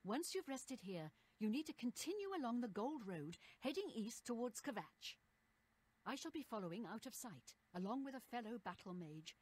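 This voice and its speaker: Husky commanding battle mage. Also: female voice, fairly high pitch, big pitch swings, darkish tone. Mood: stern, instructing. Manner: brisk, instructing, authoritative. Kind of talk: fantasy video game dialogue.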